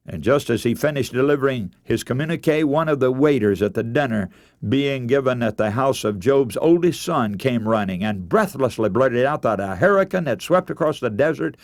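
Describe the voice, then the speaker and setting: A man delivers a radio sermon in formal, measured oratory. His mid-pitched voice rises and falls in a lively way as he tells Bible stories with dramatic expression. The sound is dry, with very little room to it. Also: no echo. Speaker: preacher.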